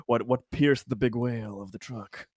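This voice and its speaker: A man chatting casually as a player in a tabletop roleplaying game, speaking in a halting, hesitant way. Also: mid-range voice, fairly even intonation, dry recording, studio quality. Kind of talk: casual, joking game chat.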